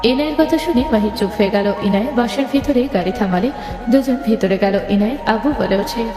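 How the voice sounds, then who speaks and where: A woman's voice: fairly high pitch, fairly quick. A woman reading scripted story narration between lines of dialogue. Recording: echoey reverberant hall, music underneath.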